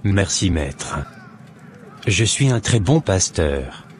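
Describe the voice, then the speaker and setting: A man voicing a deceitful, persuasive false pastor who serves a dark master in a cartoon. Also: sits low, big pitch swings, slow pace, slight background noise, exaggerated voice. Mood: obedient. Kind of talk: dramatic animated dialogue.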